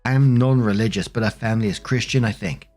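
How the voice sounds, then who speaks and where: Low-pitched man reading online stories. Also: fairly even intonation, fast talker, a little mellow, faint music behind. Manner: chatty, conversational. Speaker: narrator and host of online story readings. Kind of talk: reading online stories aloud with casual commentary.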